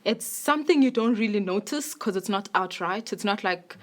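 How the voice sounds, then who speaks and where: A woman's voice: medium pitch, animated, normal speed. A woman speaking casually in an interview, using "like" as a filler. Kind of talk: documentary interview.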